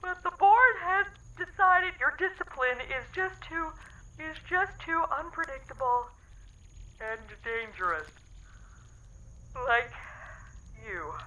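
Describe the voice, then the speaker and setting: A woman's voice: high voice, animated, takes it slowly, pauses often, bright, forceful tone, recorded from a distance, slight background noise, full character voice, low-quality recording. A woman playing a crew member reading romantic melodrama in a sci-fi comedy audio drama. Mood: tender melodrama.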